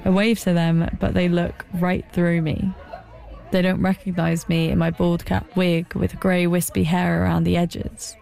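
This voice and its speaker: A woman tells her story in a dry, wry voice with a gravelly fry running through it. Her tone is dark and mellow, her pitch stays low and fairly flat, and soft music plays underneath. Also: measured pace, some chatter behind. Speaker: narrator. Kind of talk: scripted documentary narration.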